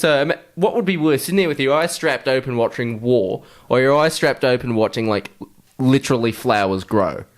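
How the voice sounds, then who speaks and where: A man co-hosting a podcast, chatting casually and comically about films in a blunt, sweary way. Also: medium pitch. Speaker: podcast co-host. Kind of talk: casual comedic film chat.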